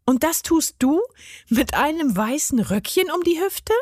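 A woman's voice: sits high, lively intonation, measured pace, darkish tone, no echo, clean studio sound, voice pushed up. A woman voicing a plucky, curious little girl in a storybook, speaking in a childlike, direct way. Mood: cheeky, bold.

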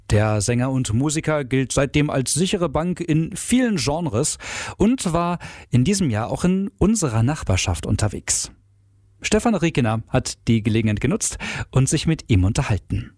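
A male news anchor introducing a report in a smooth, formal way. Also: mid-range voice, animated, unhurried, a little mellow, dry recording, clean studio sound.

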